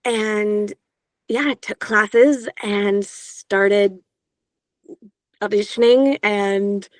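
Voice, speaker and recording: A woman from Georgia in the US, an audiobook narrator, talking casually in an interview with a higher-pitched, younger-sounding voice and a chatty manner full of filler sounds. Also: fairly even intonation, drawn-out words, no echo, clean studio sound.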